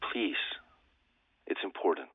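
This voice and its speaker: An actor playing a hesitant, grave sheriff's detective in an audio drama, halting and guarded, heard through a phone. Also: male voice, no echo. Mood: grave, uneasy. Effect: phone call filter. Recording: muffled phone call over music.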